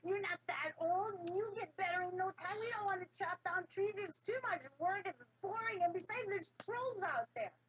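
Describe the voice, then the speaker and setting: A woman's voice: very high voice, very fast, few pauses, pushed and bright, a little hiss or hum, voice pushed up, poor audio. A woman doing whiny lazy brothers. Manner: whiny, mocking. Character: lazy whining young adult brothers.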